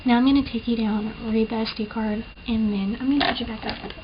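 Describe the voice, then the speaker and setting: A young woman talks through her makeup at home in a chatty, rambling way, often joking at her own expense. Her voice sits a little high and carries a slight lisp. The built-in camera mic sounds dull and muffled, with a clear layer of hiss under everything. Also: steady tone, fairly quick. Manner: chatty, self-deprecating, rambling with fillers. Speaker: beauty vlogger. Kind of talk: casual makeup tutorial chat.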